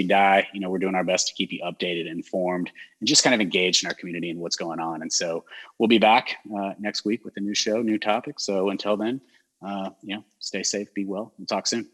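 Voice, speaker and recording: A man hosting a local talk show, speaking in a relaxed, rambling way with many fillers and restarts and a neutral American accent. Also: low voice, low-quality recording. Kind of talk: casual interview chat.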